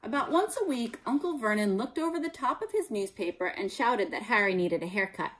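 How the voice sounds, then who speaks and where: Lively American woman reading aloud. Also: fairly high pitch, brisk, rough recording. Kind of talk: expressive children's read-aloud with teaching asides. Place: small room at home, indoors.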